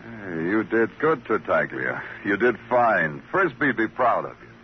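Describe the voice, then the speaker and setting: An actor playing a terse, dry, deadpan police detective in a vintage radio crime drama. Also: male voice, lowish voice, unhurried, slight background noise. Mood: approving. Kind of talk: 1950s radio crime drama acting and narration. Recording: dull vintage radio recording.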